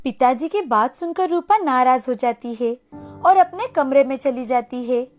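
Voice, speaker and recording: A woman's voice: high voice, animated, a little slow. A woman narrating a scripted storybook tale as the storyteller, with music behind her. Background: background music.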